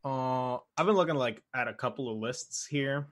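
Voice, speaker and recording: A man's voice: mid-range voice, normal speed, a bit bright. A man co-hosting a podcast, talking informally and hesitantly as he thinks aloud. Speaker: podcast co-host. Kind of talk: casual conversational chat.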